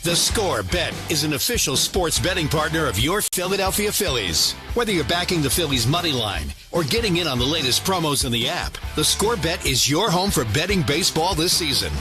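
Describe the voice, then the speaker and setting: A man's voice: on the high side, big pitch swings, brisk, hardly any pauses. A man with an American accent delivering a scripted promotional advertisement as a voice-over announcer. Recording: clear guitar music bed.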